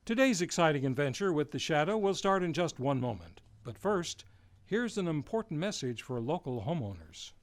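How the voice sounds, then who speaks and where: A man's voice: a little high, very animated, moderate pace. A man announcing an old-time radio hour, with formal, flourishing introductions. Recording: music behind.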